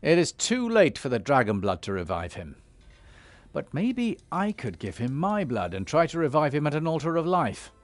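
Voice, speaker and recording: An actor playing a resolute emissary and elder in a fantasy video game, firm, serious and authoritative. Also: male voice, on the high side, very animated, moderate pace. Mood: solemn. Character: commanding male dragon-blooded emissary and elder, resolute hero. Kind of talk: dramatic video game acting.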